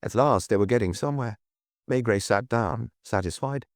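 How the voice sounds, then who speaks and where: A man's voice: medium pitch, normal speed, no echo, clean studio sound. A man reading a detective novel as a scripted audiobook narration.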